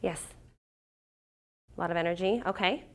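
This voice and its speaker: A woman's voice: on the low side, normal speed. A middle-aged woman getting over a cold, giving a conversational talk to a business group as guest speaker. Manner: conversational, with fillers and restarts.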